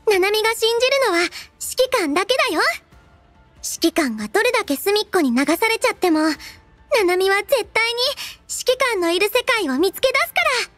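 A devoted, playful little robot girl from an anime game. Her voice is extremely high and cutesy, with animated, expressive pitch swings. The sound is dry and close. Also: moderate pace, dry recording, soft background music. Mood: earnest. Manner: cutesy, refers to herself in third person, expressive. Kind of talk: Japanese anime game character voice lines.

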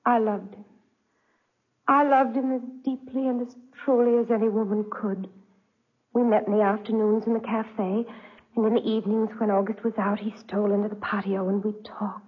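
A woman's voice: mid-range voice, level tone, normal speed, breathy edge, lightly acted. An actress playing a refined, unhappily married young lady, proper but emotional, in 1940s radio style. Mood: wistful, confiding. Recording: dull, muffled 1940s radio recording.

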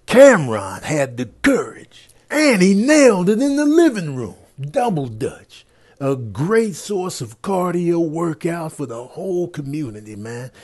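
A man's voice: a little high, sing-song, a little slow, a little mellow. A man doing comedic voiceover commentary over video clips, as a comedian.

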